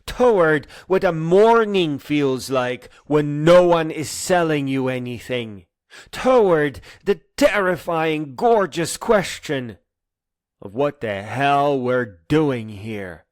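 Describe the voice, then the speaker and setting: A man delivering a scripted spoken-word monologue in a solemn, deliberate way with poetic phrasing and pauses. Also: on the high side, expressive, dry recording, studio quality.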